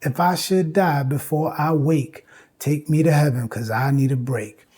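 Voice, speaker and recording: A man lectures informally in a mellow, husky voice that sits a little high. He is emphatic, repeating phrases to drive points home and reciting lines as he goes. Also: unhurried. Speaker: host and lecturer on music history.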